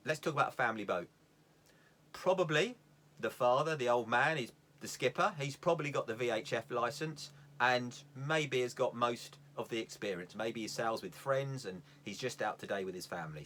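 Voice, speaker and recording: A man's voice: medium pitch, fairly bright tone. A middle-aged man giving an informal safety lesson, explaining step by step in an emphatic, plain-spoken way. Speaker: sailing safety instructor. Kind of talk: informal instructional talk.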